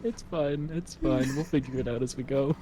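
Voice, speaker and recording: A man playing a tabletop game, talking in a relaxed, self-deprecating way. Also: mid-range voice, normal speed, a little hiss or hum. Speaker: player. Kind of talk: casual chat during a tabletop game.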